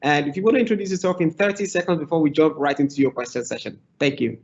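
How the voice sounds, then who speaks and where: A man's voice: on the high side, quick pace. A man moderates a webinar panel. He gives gracious, effusive thanks and formal introductions. His voice comes through dull, lo-fi video call audio.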